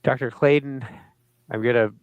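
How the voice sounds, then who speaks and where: A middle-aged man hosting a show, friendly and a little halting, with many fillers, speaking over a video call. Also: mid-range voice, steady tone, clear, resonant tone, dry recording, narrow, tinny audio. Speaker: host. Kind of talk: interview hosting.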